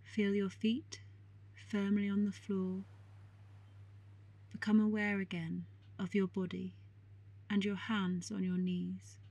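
A woman guiding a meditation in a calm, soothing, measured way with long pauses and a soft, breathy voice. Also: mid-range voice. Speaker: meditation guide. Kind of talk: guided meditation narration.

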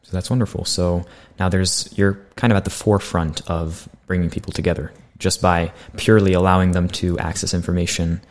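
Low-voiced young man hosting politely. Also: flat delivery, a little fast, few pauses. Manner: polite, formal, reads prepared questions. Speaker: podcast host. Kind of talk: scripted interview hosting. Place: small bright studio room. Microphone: studio condenser mic on boom arm.

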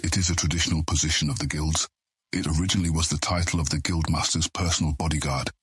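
A calm thieves' guild deputy with an extremely low, heavily rasping voice. He speaks with measured authority in a fantasy audiobook drama, recorded close and dry. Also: no room sound, full character voice. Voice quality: deep, raspy.

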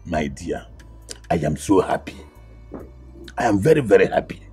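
A man's voice: sits low, big pitch swings, moderate pace, mellow, breathy edge, soft background music, heavily acted. A man playing a gloating schemer in a film drama. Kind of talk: Nigerian film drama acting.

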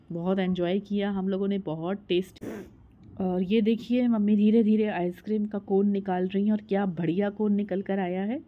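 Woman, 30s, Hindi accent, chatty vlog voice-over, middle pitch, slightly muffled lo-fi sound. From India. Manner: conversational, explains step by step, frequent "uh" fillers. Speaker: vlogger. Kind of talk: voice-over narration of a home vlog.

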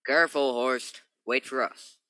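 Space captain for radio play, male actor: sharp, tense, authoritative, mid-pitched, skeptical. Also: steady tone, measured pace, clean tone. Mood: commanding. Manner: emphatic, authoritative.

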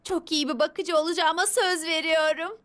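A woman voicing a plucky, expressive young girl heroine in a cartoon dub. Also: extremely high pitch, normal speed. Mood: eager. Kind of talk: Turkish cartoon dub acting. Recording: loud music behind.

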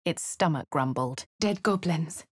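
Posh English heroine: composed, haughty, husky low tone, dry studio sound. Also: female voice, animated, a little slow, dry recording, exaggerated voice. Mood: measured. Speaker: player character. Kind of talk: video game voice lines and narration.

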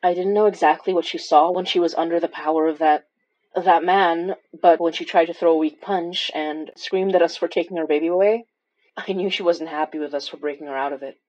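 A woman acts a wry, caring investigator in a horror audio drama. Her voice sits fairly low and she speaks intimately. The sound is slightly dull. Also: fairly even intonation, fairly quick, a little mellow, natural voice. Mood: reflective. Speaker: lead character and narrator. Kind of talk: first-person horror audio drama narration.

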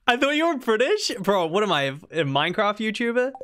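Young kiwi guy streaming a farming game, highish voice, goofy back-and-forth with chat. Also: big pitch swings, normal speed, a bit bright, slight background noise. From New Zealand. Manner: playful, jumps to high squeaky protests when teased.

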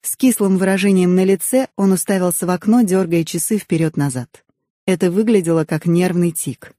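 A woman reading a novel aloud as an audiobook narrator, in expressive first-person storytelling. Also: on the low side, brisk, no echo, clean studio sound.

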